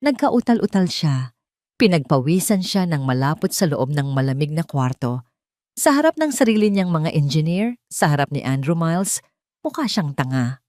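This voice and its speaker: A woman's voice: deep voice, big pitch swings, normal speed, darkish tone, no room sound, studio quality. A woman with a Filipino accent reading a dramatic scripted story as an audio drama narrator.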